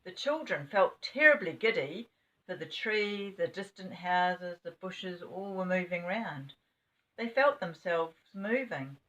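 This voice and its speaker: An older woman with a fairly low voice reads a children's story aloud. She is an expressive storyteller, slipping in small asides as she goes. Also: takes it slowly, far from the mic, highs cut off. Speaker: grandmother storyteller.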